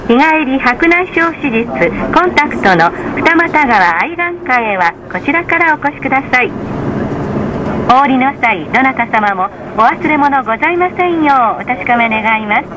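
A woman delivers scripted announcements on a bus in a high voice. Her delivery is formal and polite. The recording is full of loud engine and road noise. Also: lively intonation, moderate pace.

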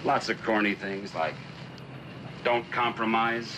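Blunt, lowish-voiced American artist. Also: male voice, fairly even intonation, takes it slowly. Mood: earnest, defiant. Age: middle-aged. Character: blunt, idealistic middle-aged male sculptor. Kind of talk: 1960s television drama acting. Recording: noisy old TV film soundtrack.

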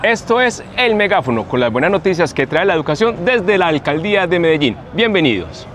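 A man's voice: high-pitched, sing-song, brisk, a bit bright, slight background noise. A middle-aged Colombian man presenting scripted news to camera in a busy exhibition hall. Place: large indoor exhibition hall. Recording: busy expo hall crowd behind.

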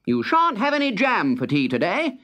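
A storyteller voicing a fussy king in a children's storybook, speaking high and drawn out. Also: huge pitch swings, moderate pace, a bit bright. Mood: scolding. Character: fussy storybook king, stern then pleased.

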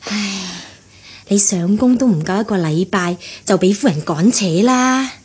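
An actress playing a kindly, chatty, meddling senior housekeeper with a coaxing manner in a radio drama. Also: female voice, medium pitch, lively intonation, measured pace, darkish tone, slightly rough. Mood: exasperated. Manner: coaxing, cajoling. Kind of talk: Cantonese radio drama acting.

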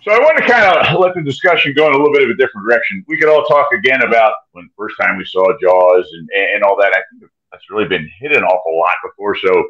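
A man's voice: fairly low pitch, animated, fast, fairly bright tone, lo-fi sound. A man in his fifties hosting a casual video-call chat about films, asking loose, rambling questions. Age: 50s. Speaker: host. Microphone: webcam on video call.

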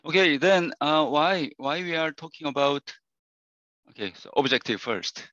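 A man lecturing as a professor in an online class, hoarse from losing his voice, talking in halting, repeated phrases with many fillers. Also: on the high side, pushed and bright, no room sound, lo-fi sound.

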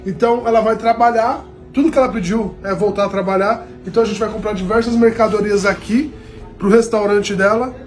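Fairly high-voiced man in his 30s, earnest, a bit preachy, phone vlog with music and shop crowd behind. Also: steady tone, a little fast. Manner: earnest, preachy addresses to viewers. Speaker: charity vlogger. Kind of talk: motivational vlog talk. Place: electronics store. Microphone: phone held at arm's length.

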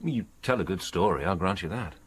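An actor playing a firm, sceptical and protective gentleman in a radio drama, brisk and formal. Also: deep voice, lively intonation, slight background noise. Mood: stern, sceptical.

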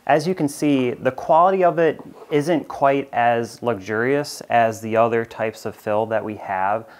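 A man in his thirties with an American accent walks through a product explainer in a clear, steady, informative way. His voice sits at an ordinary middle pitch. He is recorded close on a lapel mic in a bright studio. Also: unhurried. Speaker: company presenter. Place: bright studio with shelves of plush toys.

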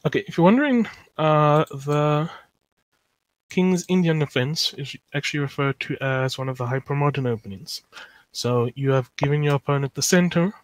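A man from South Africa teaching chess live over an online call, pausing often with fillers as he thinks aloud and answers chat. The sound is dry and close, recorded at a desktop mic. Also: on the high side, dry recording, poor audio. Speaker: chess lecturer.

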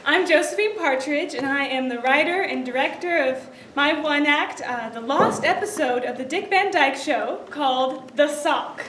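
A woman's voice: high-pitched, moderate pace, hardly any pauses. A young woman giving an informal, chatty introduction to a show as its director, with a giggly manner. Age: young adult. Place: theatre stage, indoors. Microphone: distant audience camera. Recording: echoey theatre from far off, noisy.

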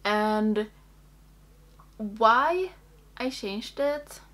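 Slow-paced young Swedish woman, casual vlogger. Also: fairly high pitch, expressive, fairly bright tone. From Sweden. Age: young adult. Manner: hesitant, halting, thinking aloud. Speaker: makeup video creator. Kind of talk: casual chatty get-ready-with-me vlog. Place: small indoor room.

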